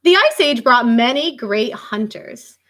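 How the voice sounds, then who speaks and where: A woman teacher reading a children's book aloud in an expressive, emphatic way, adding asides and questions for her young listeners. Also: fairly high pitch, a little slow.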